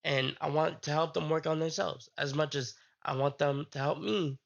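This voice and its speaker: A man's voice: a little high, steady tone, gravelly, highs cut off. Sick man rambling on a stream. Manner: rambling, halting with restarts. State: sick, voice strained. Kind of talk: casual live-stream chat.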